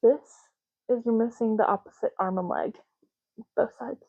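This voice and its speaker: A soft-spoken woman doing an ASMR roleplay as a clerk, explaining gently and unhurriedly in short, broken phrases. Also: a little high, moderate pace, slightly rough, dry recording, dull audio. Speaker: ASMR creator playing an ID card clerk.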